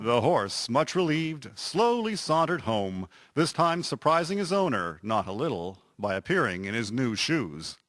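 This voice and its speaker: A man's voice: medium pitch, sing-song, a little slow, hardly any pauses. A man narrating a radio drama as a scripted storyteller. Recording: music prominent behind the voice. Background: loud music.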